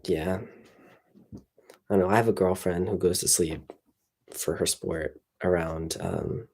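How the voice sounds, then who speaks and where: A man's voice: low voice, measured pace. A young man chatting casually on a livestream, tired and rambling with lots of fillers. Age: young adult. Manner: relaxed, rambling, many fillers. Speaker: streamer.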